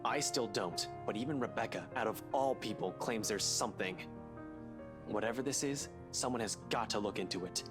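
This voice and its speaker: A man's voice: fairly low pitch, normal speed, over music, lightly acted. A man playing a blunt, determined detective in a visual novel, firm and matter-of-fact. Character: Ash, blunt, determined detective working a case.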